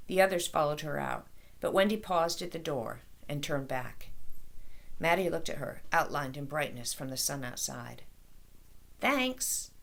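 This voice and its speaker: Woman, low-pitched, creaky edge, expressive storytelling, author reading aloud. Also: moderate pace.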